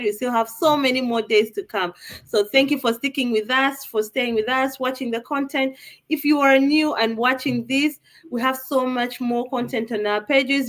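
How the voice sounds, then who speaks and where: A woman from Uganda hosts a live online panel, her voice sitting a little high. She is upbeat and chatty and talks straight to the viewers. The sound comes through a video call. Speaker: live show host.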